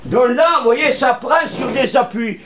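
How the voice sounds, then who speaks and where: A man with a sunny Southern French accent holds forth on theatre, his voice high and bright in pitch. He talks animatedly and theatrically, often swelling into booming declamation. The sound carries the echo of a reverberant room. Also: unhurried, a crowd in the background. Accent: Southern French, Montpellier. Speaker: theatre teacher. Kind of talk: lively talk on theatre to a group.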